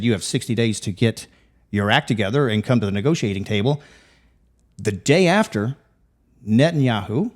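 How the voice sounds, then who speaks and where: A man hosting a talk show, interviewing a guest and asking long questions with frequent repeated words. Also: mid-range voice.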